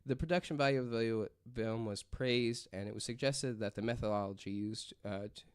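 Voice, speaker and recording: A man's voice: on the low side, moderate pace, clear, resonant tone, no room sound. A man presenting a story on a casual podcast, reading from notes and rambling through asides peppered with "like".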